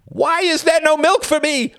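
A man's voice: mid-range voice, wildly swooping pitch, brisk, creaky, a bit bright, dry recording, studio quality, voice pushed up, heavily acted. A man playing a sarcastic, furious young husband, ranting and condescending in acted audiobook dialogue. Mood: screaming, taunting. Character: enraged sarcastic husband, young graduate student in a failing marriage.

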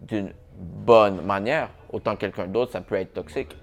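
A man's voice: lowish voice, a little slow, creaky. A young man hosting a casual podcast chat, laid-back and dropping English slang into his talk. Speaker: podcast host. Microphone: shared podcast mic.

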